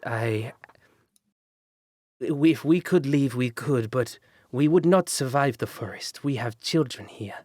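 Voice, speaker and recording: A man voicing a tall humanoid blue jay man who leads a camp, speaking slowly and measuredly. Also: medium pitch, a little mellow, no room sound, studio quality. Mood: pleading.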